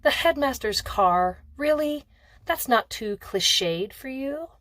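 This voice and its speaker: A woman reading the part of a sharp, guarded teenage girl in a storybook, dry and exasperated in manner. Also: high voice, animated, slow, no room sound, pitched above the performer's own voice, exaggerated voice. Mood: exasperated sarcasm.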